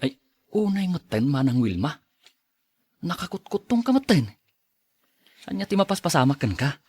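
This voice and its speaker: An actor playing a modest, hardworking farmer who speaks politely and chuckles often. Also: male voice, a little high, big pitch swings, normal speed, a little mellow, slightly rough, no echo, heavily acted. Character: humble hardworking young farmer. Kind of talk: audio drama acting.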